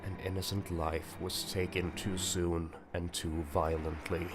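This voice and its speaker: A man giving grave, deliberate scripted narration of a dramatic visual novel. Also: low-pitched, flat delivery, background noise.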